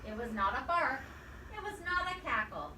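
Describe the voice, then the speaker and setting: A woman reading a picture book aloud to children, expressive and pausing to quiz her young listeners. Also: sits high. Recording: roomy echo, distant mic, faint noise.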